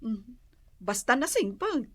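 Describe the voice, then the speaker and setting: An actress plays a protective, rather naive young wife in an Ilocano radio comedy. Her female voice sits a little high and sounds close and dry. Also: big pitch swings, takes it slowly, no room sound, exaggerated voice. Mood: surprised, worried.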